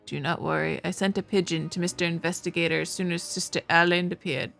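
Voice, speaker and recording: A woman voicing a calm male household servant in a visual novel, measured and reassuring. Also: on the low side, vocal fry, faint music behind.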